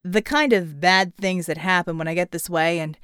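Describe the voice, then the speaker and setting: A woman's voice: lowish voice, moderate pace, fairly bright tone, smooth, no room sound, clean studio sound, voice pushed down, lightly acted. Woman voicing a brash, boozy male rogue. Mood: tipsy, rueful.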